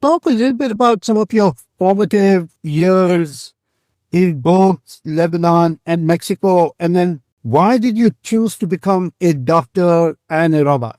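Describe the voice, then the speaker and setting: A man's voice: high-pitched, unhurried, a little mellow. A male podcast host asks his guest a plain, direct question. His voice sits well above most men's, and the sound is dry and close with no room echo. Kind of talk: interview questions.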